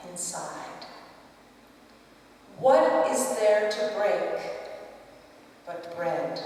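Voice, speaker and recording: Female poet for live verse reading, very slow and pausing, long hall reverb, faint noise. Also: medium pitch, far from the mic. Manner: measured, with pauses between short phrases. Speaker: poet reading own work. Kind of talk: poetry recitation.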